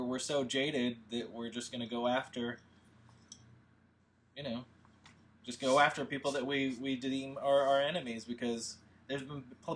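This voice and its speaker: A man hosting a casual livestream, talking in a rambling, halting way as he thinks aloud. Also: medium pitch, fairly bright tone. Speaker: host and filmmaker.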